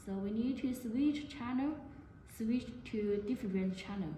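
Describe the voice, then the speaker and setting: Slow-paced woman in a very echoey room. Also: on the high side, warm tone. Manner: halting, non-native English phrasing. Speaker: product demonstrator. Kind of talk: product demonstration to camera. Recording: very echoey room, faint noise.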